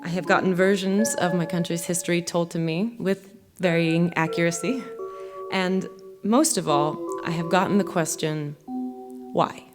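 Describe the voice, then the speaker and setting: A young American woman giving a measured, earnest spoken essay from a theater stage, as music plays behind her. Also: on the low side, steady tone. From United States. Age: young adult. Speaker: violinist and speaker.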